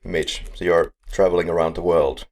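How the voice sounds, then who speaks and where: A man's voice: deep voice, little pitch movement, moderate pace, a little mellow. A man from Finland, hosting a podcast in a casual, upbeat and joking way. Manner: upbeat, joking, laughs between lines. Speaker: podcast host. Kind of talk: casual chat.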